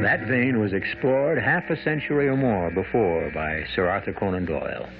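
A man hosting a radio mystery drama with measured, theatrical scripted narration. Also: on the low side, gravelly. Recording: dull radio sound, loud music behind.

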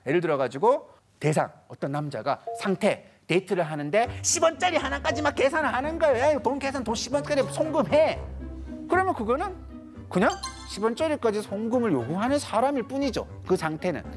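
Male lecturer, 30s, expressive, swinging delivery, acts out quotes, stage mic, faint music underneath. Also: very high-pitched, brisk. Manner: animated, acts out little quoted voices. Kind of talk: lively lecture to a studio audience. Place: large TV studio stage with audience.

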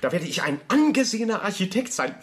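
An actor playing an eager, devoted young architect in a radio play, speaking earnestly and insistently and repeating himself. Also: male voice, sits high, sing-song, quick pace, fairly bright tone. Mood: excited, hopeful. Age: young adult. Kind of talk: German radio play acting.

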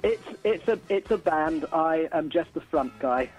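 Guy from a band being interviewed on radio, bit high voice, stumbles into sentences, faint music under him. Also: animated, a little slow, darkish tone, rough recording. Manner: hesitant, repeats words as he starts phrases. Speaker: band frontman, interview guest. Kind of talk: casual radio interview chat.

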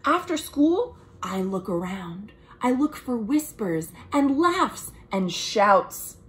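A young American woman reads a picture book aloud to children, expressive and deliberate, with friendly asides like a storytime librarian. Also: on the high side. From United States. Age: young adult. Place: small room at home.

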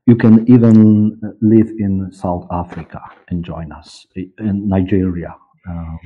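A man in his forties with a Polish accent, speaking haltingly as an interview guest and searching for words. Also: sits low, fairly even intonation. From Poland. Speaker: interview guest, audiobook director and producer. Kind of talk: conversational interview. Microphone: video call. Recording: muffled, dull video call audio.

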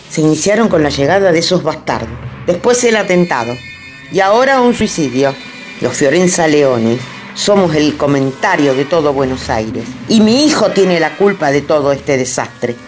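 A woman's voice: deep voice, animated, normal speed, faint music behind. A woman with a Rioplatense accent playing a haughty, domineering elderly grandmother in a radio play, speaking in a cutting, contemptuous way. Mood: indignant. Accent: Rioplatense Spanish.

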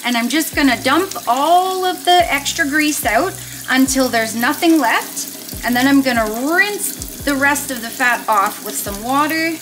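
Upbeat woman doing meal prep at home, kinda high bright voice, cute little words. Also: expressive, unhurried. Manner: chatty, upbeat, cutesy word play. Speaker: vlogger. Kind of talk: casual cooking meal-prep walkthrough. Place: home kitchen, indoors.

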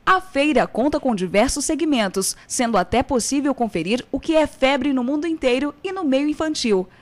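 A young adult woman reporting the news with a formal, even reading voice, from a handheld mic at an outdoor fair. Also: high voice, moderate pace. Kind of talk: scripted news narration. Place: outdoor craft fair under tents.

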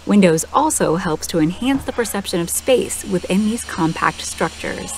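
A woman reading a scripted documentary voice-over in a smooth, measured, polished way. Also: medium pitch, animated, noisy background. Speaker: documentary narrator. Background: background music.

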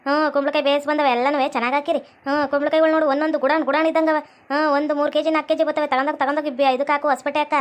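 A woman's voice: sits high, little pitch movement, racing, close to an everyday voice. A woman playing chatty, bickering village farm labourers in a cartoon skit, garrulous and colloquial, with a North Karnataka accent. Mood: chatty, boastful.

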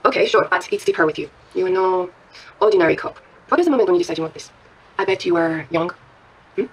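A woman's voice: on the low side, quick pace, breathy edge, low-quality recording. A woman playing a playful, seductive and probing character in naturalistic drama dialogue, teasing as she talks.

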